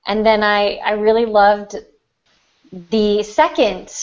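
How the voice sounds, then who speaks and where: Mid-pitched woman on a dull recording. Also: level tone, takes it slowly. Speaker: podcast panelist. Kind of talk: casual chat. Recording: dull lo-fi audio.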